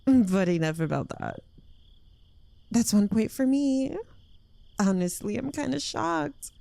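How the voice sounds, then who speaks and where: Woman acting a slow, creaky-voiced possessive witch girlfriend. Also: fairly high pitch, lively intonation, mellow, dry recording, heavily acted. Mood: teasing, smug. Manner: coy, teasing, lingering delivery dropping into breathy whispers. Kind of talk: intimate ASMR roleplay, addressed to the listener.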